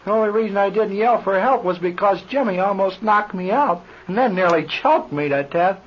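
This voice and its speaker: An actor playing an indignant, folksy airfield mechanic in a 1930s radio serial, full of colloquial slang and comic exasperation. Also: male voice, high-pitched, moderate pace. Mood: indignant, joking. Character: folksy, indignant, slow-moving male chief airfield mechanic. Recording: muffled, noisy old radio recording.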